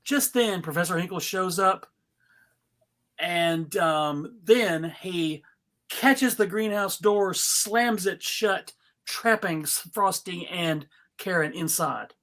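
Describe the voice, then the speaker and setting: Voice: medium pitch, takes it slowly, fairly bright tone. A performer reading out scene directions from a script, with casual asides at a table read. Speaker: reader of stage directions.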